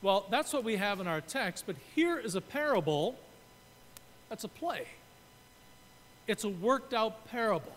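A man's voice: lively intonation, measured pace, long pauses, fairly bright tone. A man in his sixties from Canada, preaching a sermon from a pulpit and explaining his points while gesturing. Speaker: pastor. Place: church sanctuary. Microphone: pulpit mic. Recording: reverberant church room, faint rumble.

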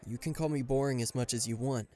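A man's voice: mid-range voice, fairly even intonation, quick pace, warm tone, close to an everyday voice. A man playing an aloof, reluctantly caring bodyguard in a roleplay audio drama, talking to the listener in a dry, guarded, mildly exasperated way. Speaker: voice actor.

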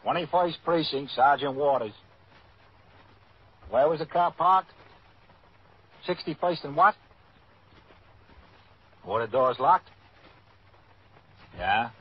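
An actor playing a terse, businesslike police desk sergeant with a husky voice, in a vintage radio drama. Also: male voice, a little high, animated, takes it slowly, pauses often, heavily acted. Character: brusque male police desk sergeant taking calls. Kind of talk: vintage radio drama, one-sided phone call. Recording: muffled old radio recording.

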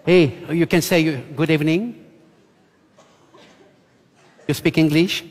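A man's voice: a little high, fairly even intonation, slow pace. A man in his sixties from France, speaking English with a French accent as a ventriloquist contestant. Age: 60s. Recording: loud music and cheering audience behind.

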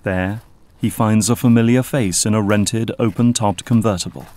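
A man narrating an audio drama in a measured, scripted style. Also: fairly low pitch, fairly even intonation, darkish tone.

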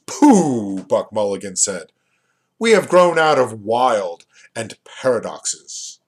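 A man in his fifties reads literary fiction aloud in a deliberate, expressive way, pausing between phrases. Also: on the low side, husky. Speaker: reader, poet and rare book seller. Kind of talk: literary audiobook-style reading of a novel. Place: small furnished room at home, indoors. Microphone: webcam or computer mic at desk distance.

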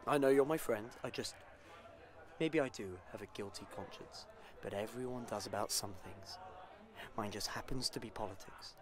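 An actor playing an anxious, defensive British politician in a naturalistic audio drama, speaking in a wary, halting way. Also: male voice, on the low side, a crowd in the background, lightly acted. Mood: apologetic. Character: anxious, guilt-ridden man in politics, fearing for his life.

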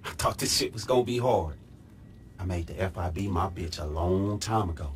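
A man's voice: deep voice, moderate pace, slight background noise, natural voice. A man acting a brash, streetwise middle-aged American fixer in a video game, with slangy, profane swagger. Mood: cocky, irritated.

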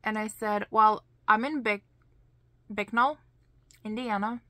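A woman in her thirties with a Lithuanian accent, chatting to camera in a slow, deliberate storytelling way, full of "you know" and "like.". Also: medium pitch, fairly even intonation, bright, forceful tone, dry recording. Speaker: vlogger. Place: small indoor craft room.

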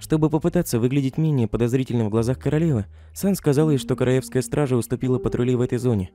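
A male narrator reading a scripted story. His voice is very dark and soft-edged, and he races through the text at a very quick pace. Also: medium pitch, faint music behind.

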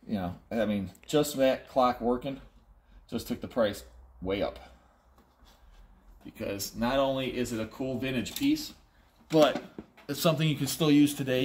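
A middle-aged man hosts a casual unboxing in a cluttered workshop, thinking aloud as he goes. His voice sits at an average pitch and he is playful, readily exclaiming. The handheld phone recording is noisy, with clicks from handling. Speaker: auctioneer and host. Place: large cluttered indoor workshop or warehouse. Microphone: handheld phone camera a step or two away.

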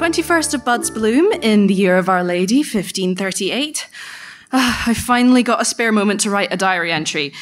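An anxious young female apprentice enchanter played by a woman confides in a diary. The voice sits at a middle pitch and is chatty and self-interrupting, in the manner of a live audio drama monologue. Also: lively intonation, normal speed, faint music behind, close to an everyday voice. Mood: nervous. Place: live on stage at a venue.

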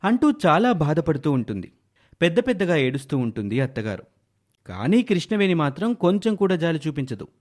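A man delivers scripted story narration. His voice sits a little high and swings widely in pitch, giving the tale a dramatic, animated feel. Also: fairly quick.

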